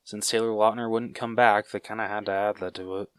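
A man's voice: lowish voice, fairly even intonation, a little fast, a bit bright, clean tone, no room sound, clean studio sound. A man co-hosting a podcast, chatting casually about a movie in a joking, laid-back way. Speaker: podcast co-host. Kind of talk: casual movie review chat.

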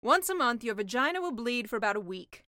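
A woman's voice: a little high, very animated, fairly quick, sharp tone, dry recording, clean studio sound. Woman voicing a blunt, worldly sea witch. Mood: matter-of-fact. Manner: blunt, matter-of-fact, slightly sly. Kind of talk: audiobook character voice in comic fairy tale.

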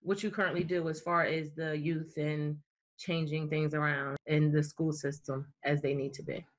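A woman's voice: low-pitched, level tone, normal speed, no echo. A woman hosting a podcast interview, enthusiastic and chatty, often repeating her praise. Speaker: podcast host. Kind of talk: casual interview, upbeat.

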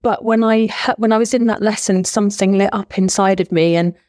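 English woman in her 40s, ex teacher, chatty and excited, laughs a lot. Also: medium pitch, fairly quick. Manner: chatty, enthusiastic, laughs often. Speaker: guest, former language teacher. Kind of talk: casual interview chat.

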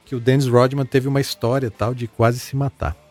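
A man's voice: medium pitch, brisk, dry recording. Chatty male podcast host, dry sound. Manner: relaxed, chatty, with many fillers and repeated words. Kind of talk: casual podcast chat, reading listener messages aloud.

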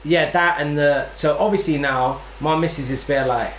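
A man's voice: on the high side, moderate pace, a bit bright. A man with a London accent hosting a casual chat, bantering in slangy, teasing talk. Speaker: podcast host. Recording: echoey room with faint noise.

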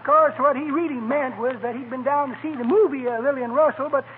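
An actor playing a folksy, teasing old-timer with a wheezy cackle and rustic grammar in a 1950s radio comedy. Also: male voice, extremely high pitch, fairly even intonation, brisk, a loud crowd. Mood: jovial, teasing. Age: older. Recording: noisy, narrow-band old radio recording.

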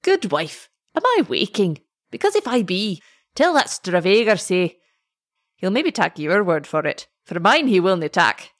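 A man's voice: high-pitched, big pitch swings, a bit bright, no room sound, studio quality, heavily acted. A woman doing an old Scots shepherd father. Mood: gruff jesting. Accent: Scots dialect. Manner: blustering, vehement, drawn-out phrasing. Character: old Scottish shepherd father, gruff and humorous.

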